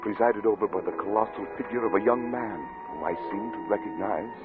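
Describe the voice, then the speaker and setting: An actor playing an obsessed, paranoid middle-aged scientist in theatrical, formal 1940s radio style. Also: male voice, on the low side, fairly quick, natural voice. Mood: brooding, haunted. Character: obsessed, paranoid male scientist, haunted and defiant. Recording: tinny old radio recording with music.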